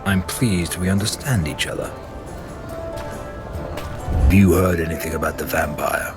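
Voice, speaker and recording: An actor playing a stern, commanding inquisitor in a medieval video game, speaking in a measured, threatening, authoritative way. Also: male voice, low-pitched. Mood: businesslike. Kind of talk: video game cutscene acting. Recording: dramatic music behind the voice.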